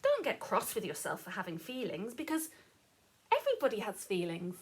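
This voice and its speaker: A woman teacher reading a children's story aloud with chatty asides, in a gentle, encouraging, sing-song manner. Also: mid-range voice, huge pitch swings, fairly quick, slightly rough.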